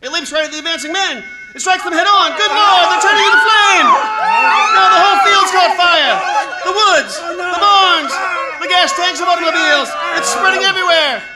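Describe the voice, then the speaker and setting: A man playing an excitable radio field reporter giving a halting eyewitness account in a 1930s radio drama. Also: extremely high pitch, over a noisy crowd, very noisy. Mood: panicked, shouting. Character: male radio reporter, awed and increasingly panicked eyewitness.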